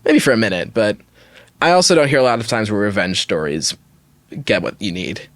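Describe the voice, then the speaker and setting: A man's voice: on the low side, big pitch swings, brisk, no room sound. A man hosting a podcast, talking casually and giving opinions as he thinks aloud and qualifies his judgments. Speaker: podcast host. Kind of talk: casual opinionated discussion of online stories.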